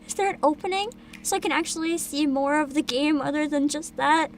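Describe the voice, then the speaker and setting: A woman hosting a livestream, chatting casually and reacting in an animated, exclamatory way with frequent swearing. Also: high-pitched, brisk, slight background noise. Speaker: livestream host, VTuber.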